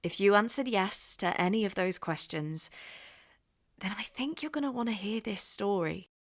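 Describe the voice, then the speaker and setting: A woman with an English accent hosting a spooky podcast, telling the story in a hushed, conspiratorial way. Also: medium pitch, moderate pace. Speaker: podcast host and narrator. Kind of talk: scripted narration.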